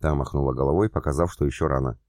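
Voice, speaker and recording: A man reading a novel aloud as a steady audiobook narrator, voicing the characters with little change. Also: very deep voice, racing, no room sound, clean studio sound. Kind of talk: scripted narration.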